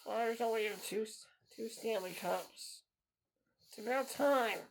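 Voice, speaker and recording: A man's voice: sits high, slow pace, gravelly, a little hiss or hum, rough recording. A man in his thirties hosting a solo podcast, talking in an earnest, repetitive way and circling back to the same phrases. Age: 30s. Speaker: podcast host.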